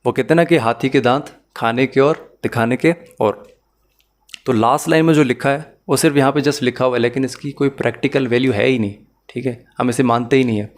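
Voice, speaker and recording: A man's voice: medium pitch, fairly quick. A man with a Pakistani accent lecturing as a law teacher, explaining methodically, rephrasing key points and mixing in English terms.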